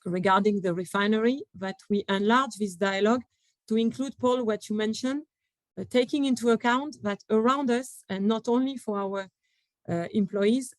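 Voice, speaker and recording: A woman with a French accent giving panel remarks at a conference, her pitch sitting in a middle range. She talks in a halting way, full of fillers and restarts. Her voice comes through dry and close, with little room sound around it. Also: dry recording, low-quality recording. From France. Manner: hesitant, many fillers and restarts. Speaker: panel speaker from a company.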